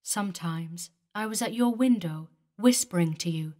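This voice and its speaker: A gentle young female ghost speaks in a calm, sweet voice with a mournful edge, as a woman reads her. She sounds distant and wistful. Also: a little high, unhurried, voice pushed up.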